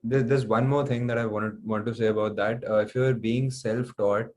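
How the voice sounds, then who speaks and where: A young man speaking reflectively and haltingly as a guest in a casual interview. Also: mid-range voice, steady tone, a little mellow, a little hiss or hum. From New Delhi, India. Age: young adult. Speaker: interview guest, singer-songwriter.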